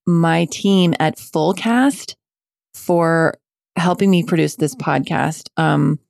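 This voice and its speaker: Woman podcast host, low fry-tinged voice, dry close sound, encouraging interviewer. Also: a little mellow, no echo.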